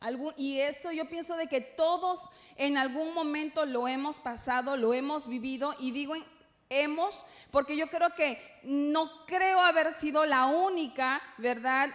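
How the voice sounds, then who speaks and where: High-voiced Peruvian woman teaching in a hall. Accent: Peruvian Spanish. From Peru. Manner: conversational, playful asides, frequent checking tags. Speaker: church teacher leading a class for servers. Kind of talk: informal religious teaching. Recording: echoey hall with long reverb.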